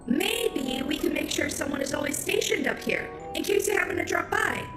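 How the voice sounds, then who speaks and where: Woman doing a very high, sweet chicken lady. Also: lively intonation, moderate pace. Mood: reassuring. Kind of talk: fan comic dub acting. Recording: echoey, with music behind.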